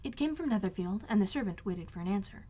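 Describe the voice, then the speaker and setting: A woman's voice: medium pitch, animated, fast talker, dark tone. A woman reading a classic novel aloud as scripted literary narration. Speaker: amateur audiobook reader.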